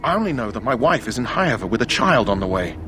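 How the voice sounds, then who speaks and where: An actor playing an anxious, complaining knight recruit in a fantasy game. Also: male voice, mid-range voice, sing-song, quick pace, sharp tone, faint music behind. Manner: fretful, protesting.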